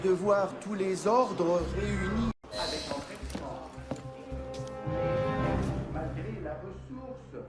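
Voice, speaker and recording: An actor playing an earnest French orator giving a formal speech in a video game. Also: male voice, high voice, slow pace, long pauses. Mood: solemn declaiming. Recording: music over the voice.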